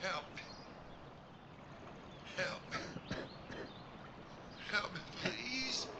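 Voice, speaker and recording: A deep, gravelly monster. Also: male voice, expressive, drawn-out words, heavily acted. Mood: pleading. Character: monstrous male creature, hungry then pleading. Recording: lo-fi with loud background noise.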